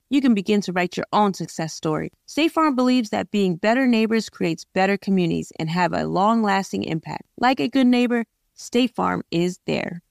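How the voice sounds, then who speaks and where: A woman, a podcast host, reading a sponsor advertisement in a steady, earnest way. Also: mid-range voice, creaky, no echo, clean studio sound. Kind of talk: read advertisement.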